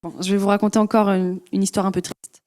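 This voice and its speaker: A woman interpreting a sermon live, sentence by sentence, in a relaxed way with frequent "euh" fillers. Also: medium pitch, quick pace.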